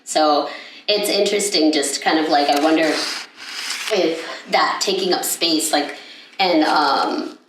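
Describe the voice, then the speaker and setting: Low-voiced young woman rambling in a roomy space. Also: slow, noisy background. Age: young adult. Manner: rambling, with many fillers and restarts. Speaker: podcast co-host. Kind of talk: casual chat. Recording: echoey room, mic at a distance.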